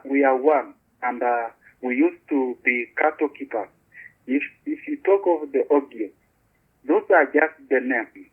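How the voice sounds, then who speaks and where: A man with a Ugandan accent, earnest, restarting and repeating words as he explains things in an interview. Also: medium pitch. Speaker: community beekeeper guest. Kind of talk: interview over a call. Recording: husky tinny phone-line audio.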